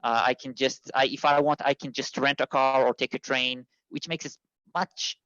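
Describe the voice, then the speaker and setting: Israeli-born guy talking slow and halting, lots of uh and you know, kinda muffled dry sound. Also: medium pitch, little pitch movement, a bit bright, no room sound. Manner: hesitant, many fillers and restarts. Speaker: guest, immigration consultant. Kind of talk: interview answer.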